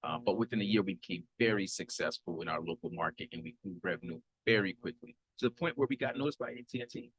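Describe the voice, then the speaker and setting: A man's voice: low voice, fast, few pauses, fairly bright tone, low-quality recording. A man in his thirties, from Haiti and raised in Florida, hosting a podcast and introducing himself in an upbeat, earnest way with frequent fillers and restarts. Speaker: podcast host.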